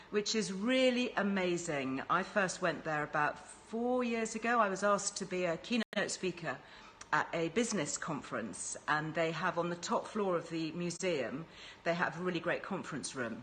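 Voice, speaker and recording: A woman's voice: fairly low pitch, fairly bright tone, a little hiss or hum. A woman hosting a casual live-stream chat in an upbeat, encouraging way. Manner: chatty, enthusiastic, encouraging. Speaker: host.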